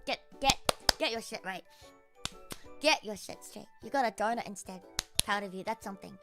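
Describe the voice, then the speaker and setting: A woman's voice: medium pitch, moderate pace, over music. A young woman with an Australian accent, chatting casually on a live stream and answering comments with sarcastic, swear-heavy banter and frequent laughter.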